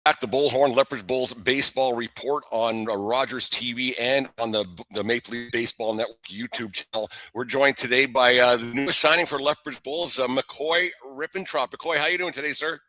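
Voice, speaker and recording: A man, probably middle-aged, hosting a casual local baseball interview in a folksy, halting, jokey way. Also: mid-range voice, fairly even intonation, bright, forceful tone. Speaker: host of a local baseball show.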